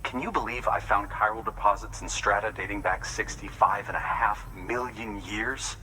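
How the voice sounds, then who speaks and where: An actor playing an anxious, grateful fossil researcher devoted to his work in a video game cutscene, with an expressive, confiding delivery. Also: on the low side, moderate pace, far from the mic, low-quality recording. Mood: excited.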